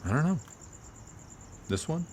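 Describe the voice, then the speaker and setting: A man's voice: fairly high pitch, sing-song, measured pace, darkish tone. A man acting a laid-back, wry friend with a casual, teasing manner in a naturalistic audio drama. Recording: faint outdoor noise with crickets.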